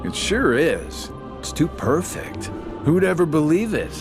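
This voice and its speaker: An actor playing a smug, taunting middle-aged villain with a sardonic, mockingly playful drawl in a video game cutscene. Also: male voice, a little high, very animated, a little slow. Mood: mocking, menacing. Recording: game soundtrack music behind the voice.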